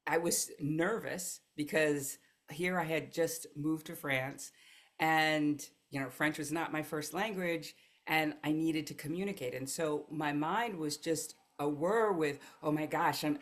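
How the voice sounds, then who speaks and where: A woman's voice: low voice, a little slow. An American woman, a life coach, telling her story as a podcast guest in an earnest, reflective way. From United States. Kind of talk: podcast conversation.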